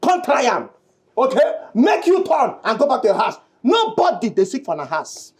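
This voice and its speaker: A man's voice: very high-pitched, normal speed, exaggerated voice. Guy doing a desperate pidgin-speaking man pleading nonstop, voice all over the place. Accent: Nigerian Pidgin. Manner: pleading, repetitive, animated. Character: desperate young man begging for help.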